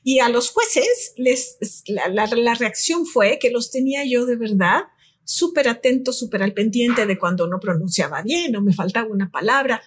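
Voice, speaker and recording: Mujer mexicana de voz algo ronca. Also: female voice, a little high, animated, normal speed, slightly rough. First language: Spanish. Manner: reflective, tells anecdotes. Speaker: guest expert. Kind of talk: casual conversation.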